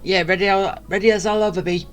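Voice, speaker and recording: A woman's voice: mid-range voice, fairly even intonation, quick pace. A woman giving casual, wry commentary while playing a video game, in an offhand way. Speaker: gamer commenting on play. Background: game music.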